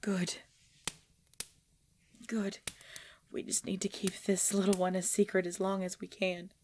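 A woman playing a proud, pregnant vampire leader in pain, speaking formally and dramatically in an ASMR roleplay with breathy drops toward a whisper. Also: mid-range voice, little pitch movement, a little mellow, a little hiss or hum, close to an everyday voice. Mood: grave, urgent. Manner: slow, formal, dramatic, often dropping to whispers.

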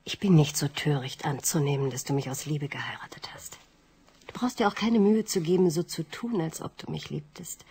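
An actress playing a young heiress confessing her love, speaking softly and often whispering, in a radio drama. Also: deep voice, slightly rough, heavily acted. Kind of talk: 1980s radio drama acting.

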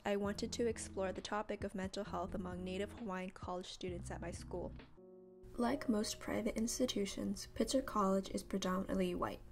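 A young woman from San Francisco, narrating her student documentary in a steady, earnest scripted read. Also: medium pitch. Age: young adult.

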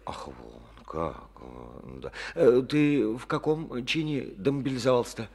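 An actor playing a boastful, rambling middle-aged district boss with folksy speech, in an old radio play. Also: male voice, mid-range voice, very animated, unhurried, vocal fry, darkish tone, slightly rough, heavily acted. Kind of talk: Soviet radio play acting. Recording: old studio radio recording.

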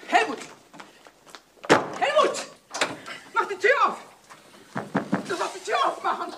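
Jealous wife, very expressive. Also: female voice, extremely high pitch, slow, exaggerated voice. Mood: desperate. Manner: needling, dramatic. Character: jealous, bitter, pleading wife. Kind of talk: German crime radio drama acting. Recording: noisy old radio play recording.

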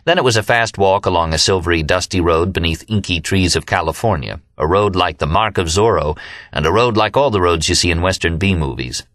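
A man with a low-pitched American voice narrates a novel in the first person. The recording is dry and close, with very little room sound. He reads from a script, as an audiobook narrator does. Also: expressive, brisk, a bit bright, no echo.

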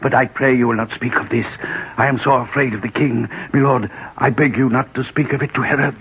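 A fawning, flustered servant in a 1940s radio drama. His very husky voice is hesitant and pleading, with slow delivery. The old broadcast sounds thin and tinny. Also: medium pitch, lively intonation, exaggerated voice. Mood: fearful, pleading. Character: timid, fawning male servant afraid of his king.

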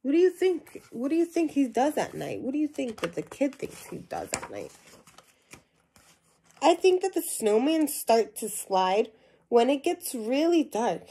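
A woman's voice: high-pitched, huge pitch swings, a little slow, dark tone, slight background noise. A young woman reading a children's picture book aloud, adding simple questions and asides for young listeners. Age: young adult. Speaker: storybook reader. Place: small indoor room at home.

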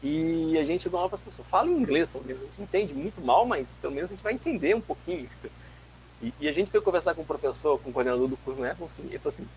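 A man in his forties, from Brazil, giving an animated physics lecture over a video call from a home office, telling stories with frequent restarts. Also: a little high, very fast, a little hiss or hum, rough recording. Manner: animated, gestures a lot, tells anecdotes with many restarts. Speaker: guest lecturer, physics teacher. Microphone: webcam mic on a video call.

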